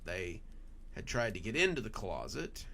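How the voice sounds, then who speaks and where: A man's voice: lowish voice, very animated, moderate pace, vocal fry, bright, forceful tone, a little hiss or hum. A man narrating a scripted first-person horror story as its storyteller.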